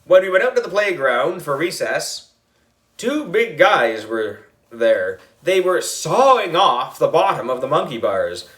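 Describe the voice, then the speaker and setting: A man reads a children's story aloud. His voice is bright and slightly high, with lots of swing in pitch. The delivery is playful and expressive. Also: a little slow. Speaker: audiobook reader. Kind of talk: animated children's story narration.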